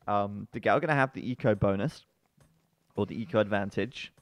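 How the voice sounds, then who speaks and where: Male esports caster, lowish voice, relaxed chatter with sudden excited shouts. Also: moderate pace, no echo. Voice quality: bassy-sounding voice. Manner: chatty, breaks into excited outbursts. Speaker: esports commentator. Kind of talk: live game commentary.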